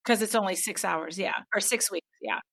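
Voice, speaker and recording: A woman's voice: mid-range voice, moderate pace, creaky, a bit bright. A middle-aged woman hosting a casual interview, affirming and recapping what she hears. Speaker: podcast host.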